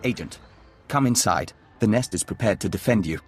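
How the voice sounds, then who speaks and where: A man plays a gentle envoy of an insect hive in a sci-fi game. The voice is low, measured and formal, with precise wording and music underneath. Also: expressive, lightly acted. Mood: earnest, formal. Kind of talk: video game voice acting.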